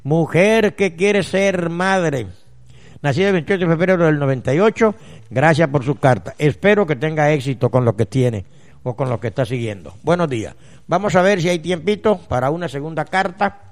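A man hosting a radio advice show as a natural healer, addressing listeners directly and emphatically with deliberate pauses. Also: a little high, animated. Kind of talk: radio advice show, reading listeners' letters and answering them.

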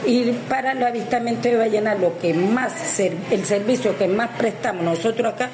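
A woman's voice: fairly high pitch, normal speed. A woman who works in local tourism, talking at length in a rambling news interview, often restarting her sentences. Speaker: tourism service provider. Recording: echoey with noise.

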